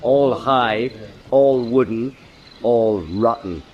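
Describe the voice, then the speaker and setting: Dry expedition sailor. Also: male voice, mid-range voice, extremely slow, lo-fi sound. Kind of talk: radio drama dialogue and narration. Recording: clear outdoor ambience noise.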